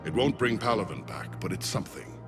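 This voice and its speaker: Man acting a grave, deep old alien general. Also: a little fast, over loud music, natural voice. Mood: sober, grateful. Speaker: military commander. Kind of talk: dramatic sci-fi game acting.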